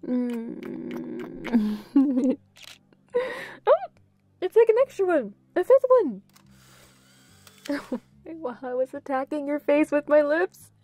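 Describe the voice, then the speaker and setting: A woman performs a playful, affectionate young girlfriend in an audio roleplay. Her voice is a little high yet mellow, with a relaxed, unhurried pace. She is bubbly and giggly, speaking intimately to her partner. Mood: giddy, affectionate. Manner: giggly, breaks into short excited repeats.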